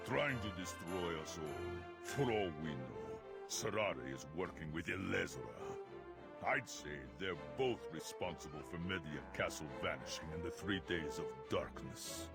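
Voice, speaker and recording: Deep-voiced, bitter villager. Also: male voice, vocal fry, full character voice. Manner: grumbling, scornful. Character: bitter, suspicious male refugee villager. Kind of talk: video game character acting. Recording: loud music behind the voice.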